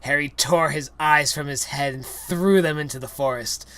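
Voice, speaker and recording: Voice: mid-range voice, moderate pace, fairly bright tone. A young adult with a mid-range voice reads a silly parody story aloud. The narration is theatrical and exaggerated, played for comedy. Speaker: reader.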